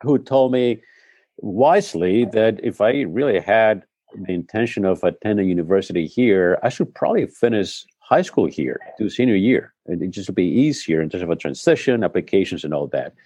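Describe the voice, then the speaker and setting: Low, mellow Spanish-born man, reflective. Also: animated, normal speed, breathy edge, no room sound. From Spain. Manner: reflective storytelling with frequent restarts. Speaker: head of school, guest. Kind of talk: conversational interview.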